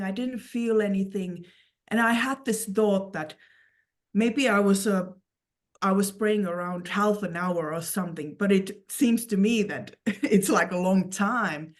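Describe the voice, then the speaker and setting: A woman from Finland with a Finnish accent, giving an earnest personal testimony as an interview guest, in halting phrases with repeated words. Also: medium pitch.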